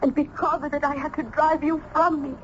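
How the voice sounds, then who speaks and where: A woman acts out a lonely, anguished young daughter in a vintage radio play. Her high voice is earnest and pleading, with melodramatic sorrow. The recording is slightly dull with faint noise. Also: little pitch movement, brisk, close to an everyday voice. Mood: tender sorrow. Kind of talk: old-time radio drama acting.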